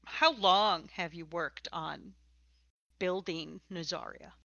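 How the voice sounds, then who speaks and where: Bright-voiced woman podcast host, slightly dull audio. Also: fairly low pitch, slow pace, creaky, dry recording. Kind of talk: casual conversational interview.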